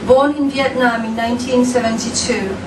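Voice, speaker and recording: A woman raised in France reads her poem slowly and deliberately in a dim room. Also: fairly high pitch, steady tone. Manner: slow, deliberate, measured reading. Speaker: poet. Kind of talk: poetry reading. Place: dim room with a lamp, indoors. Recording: echoey room, distant mic, background noise.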